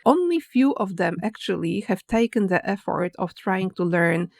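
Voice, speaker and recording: Woman interview host, middle pitch, dry close recording, chatty and laughing along. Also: expressive, normal speed, creaky, no echo. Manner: warm, laughs along, many fillers. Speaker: podcast host. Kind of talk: conversational interview.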